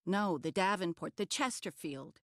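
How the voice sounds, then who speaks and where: A woman's voice: on the low side, moderate pace, a bit bright, no room sound, clean studio sound, close to an everyday voice. An actress voicing an elderly woman with fussy, old-fashioned speech in a cartoon. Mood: stern. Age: older.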